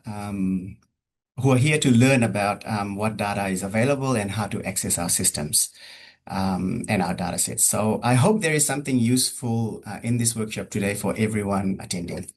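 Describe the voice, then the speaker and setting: Hesitant man presenting a webinar. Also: on the low side. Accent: New Zealand. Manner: hesitant, many ums and uhs. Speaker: research study presenter.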